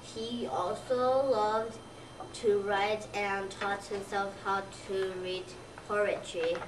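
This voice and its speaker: Slow-reading young child, distant mic. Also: medium pitch, little pitch movement. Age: child, about seven or eight. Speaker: second-grade student reader. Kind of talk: readers theater, reading a scripted narration aloud. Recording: distant mic, noisy background.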